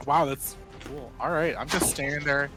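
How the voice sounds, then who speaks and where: A man's voice: a little high, normal speed. A man chatting casually as a player in a tabletop role-playing game. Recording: faint background music.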